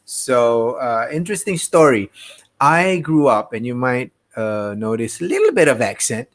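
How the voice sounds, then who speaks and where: A man with a light Midwestern American twang hosts a casual livestream. He is easygoing and talkative and greets his viewers one at a time. His pitch sits in an ordinary middle range. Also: big pitch swings, a little slow. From Malaysia. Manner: chatty, greets viewers one by one, mixes in Malay phrases. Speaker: livestream host. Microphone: desk mic on a streaming setup.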